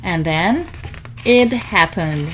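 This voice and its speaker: A woman narrates a children's story as a read-aloud. Her delivery is slow and expressive, with pitch that moves up and down a lot. There is faint noise in the background. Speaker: storybook reader.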